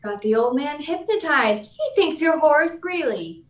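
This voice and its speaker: An actress playing a brash, sarcastic young newspaper reporter in a 1940s-style radio drama, needling and skeptical, in a roomy distant recording. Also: female voice, high voice, animated, unhurried. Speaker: actor in a live radio play. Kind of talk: 1940s radio serial acting. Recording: roomy, echoing, off the mic.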